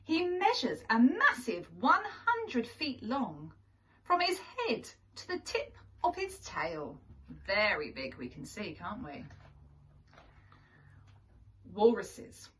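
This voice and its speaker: A woman reading a picture book aloud to young children as a teacher, in an expressive storytelling manner with deliberate pauses. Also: a little high. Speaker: primary school teacher reading to pupils. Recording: distant microphone.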